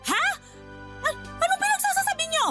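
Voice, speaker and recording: An actress playing a loyal, anxious housemaid in a Filipino radio drama, deferential and earnest. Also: female voice, extremely high pitch, lively intonation, moderate pace, over loud music. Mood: shocked, pleading.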